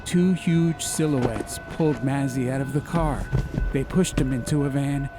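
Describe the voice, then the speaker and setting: Male narrator for an ambience audiobook, mid-pitched, dramatized storytelling over a prominent bed of music and traffic effects. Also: a little slow. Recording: music, car and outdoor ambience behind. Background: music and vehicle sound effects.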